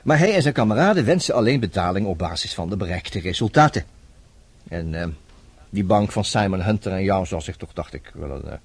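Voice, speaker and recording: A worldly, persuasive friend in a radio drama. His slightly low voice moves quickly and swings widely in pitch, with a wry, teasing way of explaining things. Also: animated, slightly rough, full character voice. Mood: explaining, confident. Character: worldly, self-assured man advising his troubled friend.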